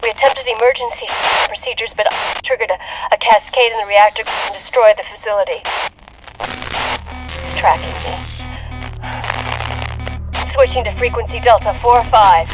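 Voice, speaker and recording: Brief: determined female space miner, slightly high voice, radio distress calls over music, sci-fi game cutscene. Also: normal speed, pauses often, poor audio. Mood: urgent. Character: determined young woman space miner under attack. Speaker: space colony pilot in distress. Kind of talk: dramatic video game cutscene acting. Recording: music and engine noise behind.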